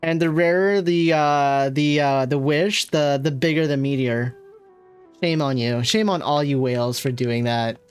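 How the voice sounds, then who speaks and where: Female game streamer: low pitch, casual joking commentary, thinking out loud over faint music. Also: unhurried, clear, resonant tone. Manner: joking, musing aloud. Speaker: gaming streamer.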